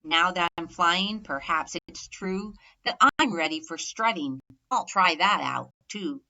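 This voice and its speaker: A plucky little boy turkey from a children's storybook, played by a woman. The delivery is earnest and excitable, with bright, forceful emphasis on the rhymes. The sound is a bit dull. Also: fairly low pitch, a little slow. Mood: proud, hopeful. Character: eager young male turkey, plucky little dreamer.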